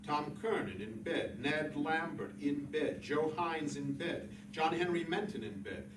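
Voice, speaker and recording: A man's voice: on the high side, unhurried. A man giving a dramatic reading of literary prose. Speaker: literary reader. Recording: roomy echo, distant mic, clear noise.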